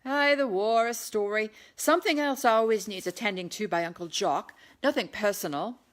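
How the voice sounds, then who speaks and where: A conflicted young English gentleman with a quick temper, read by a woman. He speaks in emphatic, clipped phrases, raised in pitch, as in a historical audiobook. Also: lightly acted. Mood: bitter.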